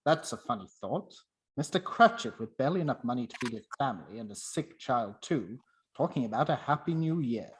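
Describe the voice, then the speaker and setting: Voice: medium pitch, animated, fairly quick, lightly acted, low-quality recording. A performer playing a miserly, bitter old businessman in a radio play, curt and scornful. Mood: stern, scornful.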